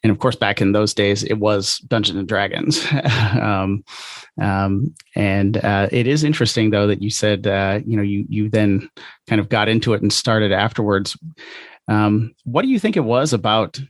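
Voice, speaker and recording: A man's voice: fairly low pitch, normal speed, no room sound, clean studio sound. A man in his forties hosting an interview podcast. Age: 40s. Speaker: podcast host.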